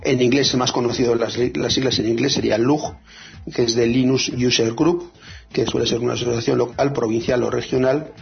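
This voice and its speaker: A man co-hosting a radio show about free software, talking in a hesitant, casual way with many fillers and restarts. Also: medium pitch, steady tone, moderate pace, creaky, slightly rough, dull audio.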